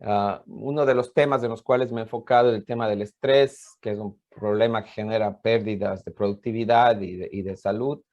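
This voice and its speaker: A man's voice: lowish voice, fairly even intonation, a little fast, hardly any pauses, a little mellow, highs cut off. A man with an Ecuadorian accent giving an informal, explanatory conference talk as a professor, hesitant and often repeating words. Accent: Ecuadorian Spanish.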